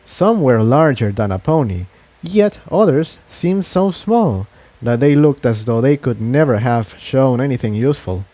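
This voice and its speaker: Guy reading an adventure story out loud, soft mellow tone, really animated pitch. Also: a little slow, no echo. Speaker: fan fiction reader. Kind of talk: scripted narration of an adventure story.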